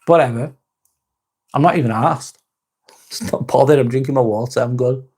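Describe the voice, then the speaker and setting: Man, mid-pitched, rambling live-stream host, laid-back, swears casually. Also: normal speed, husky. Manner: relaxed, rambling, frequent restarts and swearing. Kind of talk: casual live chat reading comments.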